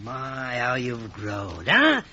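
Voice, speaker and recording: An actor playing a simple, hearty country blacksmith in a 1950s radio drama, halting and awkward, on a muffled, noisy old recording. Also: male voice, mid-range voice, huge pitch swings, pushed and bright, exaggerated voice. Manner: halting, rustic, effusive.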